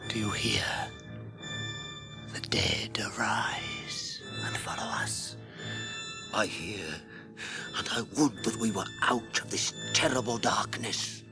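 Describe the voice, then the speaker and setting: An actor playing an uneasy, awed traveller in a haunted company in a radio drama, hushed and fearful. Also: male voice, lowish voice, slow pace, creaky, long pauses, whispered. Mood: hushed dread. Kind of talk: BBC radio drama acting. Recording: radio drama with prominent music behind.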